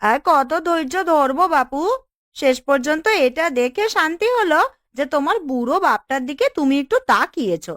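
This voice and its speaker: Woman as cartoon village gossip, taunting, sing-song range, high voice, dry sound. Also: animated, moderate pace, a bit bright, no room sound. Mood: mocking. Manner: taunting, skeptical. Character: mocking, nosy village auntie. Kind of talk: cartoon dialogue.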